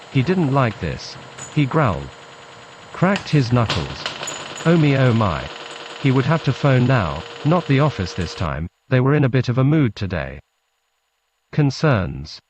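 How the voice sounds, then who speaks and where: A man with a Scottish accent reads a literary story laced with Scots words in a deadpan, clipped manner. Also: mid-range voice, measured pace. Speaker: narrator, storyteller. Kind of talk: literary story reading in Scots-flecked English. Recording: muffled lo-fi recording with background noise.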